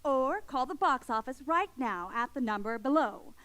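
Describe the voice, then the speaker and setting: A woman's voice: sits high, animated, normal speed, a bit bright, clear, resonant tone. A woman playing a playful, folksy announcer promoting items on a 1940s radio show. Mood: playful, promotional.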